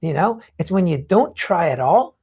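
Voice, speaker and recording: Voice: medium pitch, sing-song, moderate pace, darkish tone, breathy edge. A podcast host talking casually and emphatically in a rambling way, swearing freely while explaining ideas. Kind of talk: casual talk explaining book takeaways.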